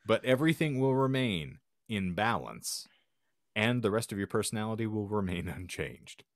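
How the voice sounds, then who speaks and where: A man's voice: on the low side, expressive, moderate pace, dry recording, studio quality. A man hosting a podcast with wry, sarcastic asides, bantering casually and reading bits aloud. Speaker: podcast host.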